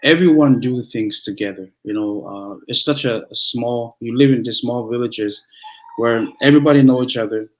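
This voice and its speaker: A man's voice: medium pitch, low-quality recording. Young guy from tonga, pastor, english is his second language, lots of stops and restarts. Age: young adult. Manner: halting, many restarts and fillers. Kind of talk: conversational interview.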